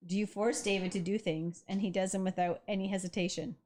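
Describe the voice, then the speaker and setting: A woman's voice: mid-range voice, steady tone, a little fast, darkish tone. A Canadian woman co-hosts a live stream, reading viewer messages aloud and bantering with her partner. She keeps a playful, teasing tone throughout. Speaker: co-host reading viewer comments.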